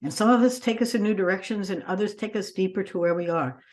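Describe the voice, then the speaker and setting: A woman hosting a podcast, introducing her guest with an enthusiastic, expressive delivery. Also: mid-range voice, quick pace. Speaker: podcast host.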